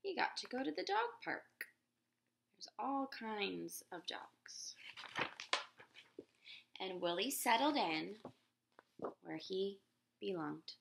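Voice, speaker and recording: A woman in her thirties reading a picture book aloud to children in a gentle, expressive teacher voice, recorded on a laptop webcam in a living room. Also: slow pace, gravelly, pauses often, a little hiss or hum. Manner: gentle, expressive teacher voice, raises pitch for quoted characters. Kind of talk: children's read-aloud with questions to viewers. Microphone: laptop webcam at close range.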